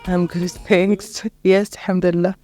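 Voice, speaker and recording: A woman's voice: on the low side, level tone, normal speed, over music. A young woman with a Kenyan accent, chatting casually as an interview guest. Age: young adult. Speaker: interview guest, lawyer and mentor.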